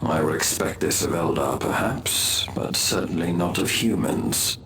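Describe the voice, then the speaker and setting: A brooding alien warrior with a very low voice. He speaks in measured, formal phrases, wary, in game-cutscene style. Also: crowd noise. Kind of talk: video game cutscene acting.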